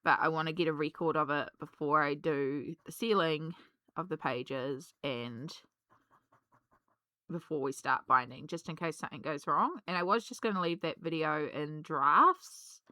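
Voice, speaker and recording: A woman, slightly hoarse from a cold, chatting casually and rambling with many ums while she colours. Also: low voice, a little slow, pushed and bright, no echo, studio quality. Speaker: colouring vlogger.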